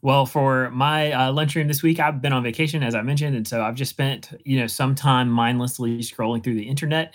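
A man's voice: medium pitch, a bit bright. A man with an American accent hosting a podcast in casual conversation. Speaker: podcast host. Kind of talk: casual chat.

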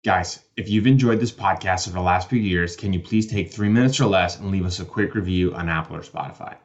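A man's voice: low-pitched, fairly quick. A man reading a scripted podcast outro as host, appealing to listeners, in dull, muffled audio.

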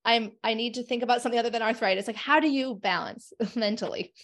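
A woman's voice: a little high, quick pace, fairly bright tone. A woman hosting an interview podcast, chatty and eager, with many short agreeing replies. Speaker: podcast host, arthritis advocate. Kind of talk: conversational interview.

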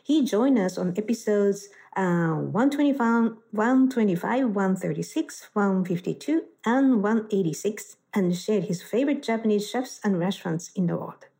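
A woman's voice: medium pitch, lively intonation, moderate pace, few pauses, dark tone. A middle-aged woman hosting a live radio talk show, chatty and laughing easily. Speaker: podcast host.

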